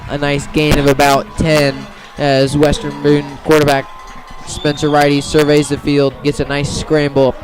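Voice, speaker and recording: A man doing live radio play-by-play of a high school football game in clipped, descriptive phrases. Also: monotone, measured pace, hardly any pauses, faint music behind, rough recording. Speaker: play-by-play sports commentator.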